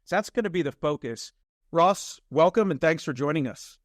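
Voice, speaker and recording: A middle-aged man hosting a podcast interview in a friendly, measured way, with frequent "uh" and "um". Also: fairly high pitch, lively intonation, no room sound, clean studio sound. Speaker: podcast host.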